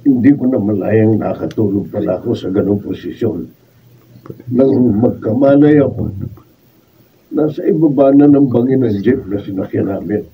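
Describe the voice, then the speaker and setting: A man's voice: on the low side, moderate pace, distant mic, phone-quality sound, a little hiss or hum, close to an everyday voice. A Filipino man acting in a radio drama as a shaken husband who survived a crash. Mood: troubled, recounting.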